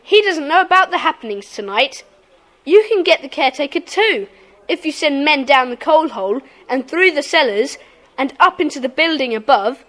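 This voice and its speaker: A woman's voice: sits high, animated, normal speed, fairly bright tone. A woman playing an earnest, proud schoolboy who leads a secret club in an audiobook drama. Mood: earnest, eager. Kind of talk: audiobook radio-play dramatisation.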